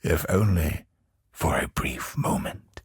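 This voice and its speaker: An actor voicing a brooding, grandiose godlike villain in a video game, husky and gravelly, with an ominous, deliberate delivery. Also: male voice, extremely low pitch, lively intonation, no echo, studio quality, heavily acted. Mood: ominous, reverent. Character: godlike male cosmic villain, brooding, grandiose, obsessed with perfection. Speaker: video game boss character.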